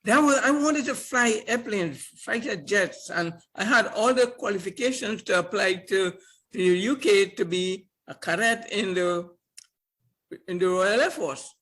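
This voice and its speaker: Older man, podcast guest, high voice, animated storyteller who chuckles and repeats himself. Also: poor audio. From Trinidad and Tobago. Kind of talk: casual storytelling interview.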